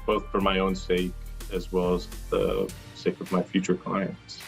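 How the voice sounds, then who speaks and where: A man, a doctoral student, giving an earnest, measured testimonial about his studies. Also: sits low, monotone, vocal fry, faint music behind. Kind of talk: recorded testimonial.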